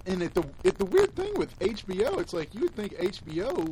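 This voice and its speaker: A man with a low, soft voice co-hosting a podcast, talking close to the mic and laughing often. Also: wildly swooping pitch, a little fast, a little hiss or hum, rough recording. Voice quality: low voice, talks softly. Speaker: podcast co-host. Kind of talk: casual chat. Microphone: close-up studio mic.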